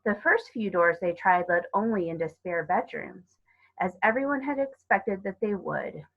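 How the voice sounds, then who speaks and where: A woman narrating a children's story aloud in a measured, expressive read-aloud way. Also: mid-range voice, phone-quality sound. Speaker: storybook reader.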